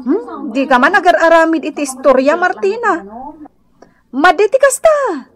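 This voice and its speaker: A doubting neighbor woman in a radio comedy drama. Her voice is high, expressive and chiding, as if setting someone straight. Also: normal speed, full character voice. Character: disbelieving neighbor woman defending others, mildly scolding.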